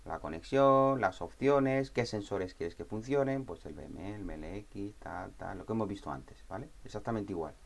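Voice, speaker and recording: A man presenting an informal step-by-step software tutorial in a conversational way, often checking understanding with short tag questions. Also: lowish voice, moderate pace, gravelly, hardly any pauses.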